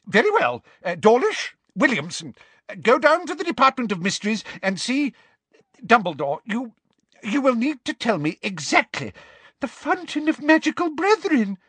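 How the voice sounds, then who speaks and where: A gibbering, panicked minister. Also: male voice, very animated, moderate pace, bright, forceful tone, husky, no room sound, full character voice. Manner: high, gibbering, blustering. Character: flustered panicking middle-aged male minister.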